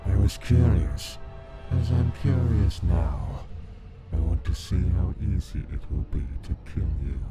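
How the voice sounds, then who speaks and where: An ancient, deathless monster in a dark cartoon drama. The voice is extremely low and unhurried, condescending and coolly menacing, with prominent music behind it. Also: male voice, sing-song, full character voice. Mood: coolly curious. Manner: slow, deliberate, cryptic, condescending. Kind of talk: dramatic comic dub voice acting.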